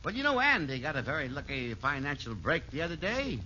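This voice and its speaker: Sharp, high-voiced gossipy radio sidekick. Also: male voice, sing-song, brisk, exaggerated voice. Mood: chatty. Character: chatty, wry male friend, gossipy sidekick. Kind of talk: 1940s radio sitcom acting. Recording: dull, noisy old radio recording.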